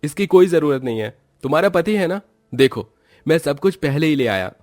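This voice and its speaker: A man as a doting young husband, raised pitch. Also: expressive, moderate pace, darkish tone, soft background music, close to an everyday voice. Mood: affectionate. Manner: tender, protective. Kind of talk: acted Hindi cartoon story dialogue.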